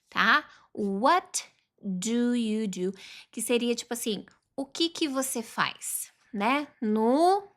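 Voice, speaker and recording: Woman, 30s, upbeat online English teacher, slightly high pitch, repeats phrases slowly. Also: a bit bright. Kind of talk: online language lesson.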